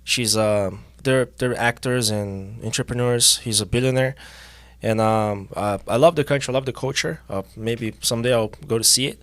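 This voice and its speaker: Young guy hosting a podcast, lively, mid voice, dead dry sound. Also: mid-range voice, no room sound. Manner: lively, loud greetings, halting English. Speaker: podcast host. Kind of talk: casual interview chat.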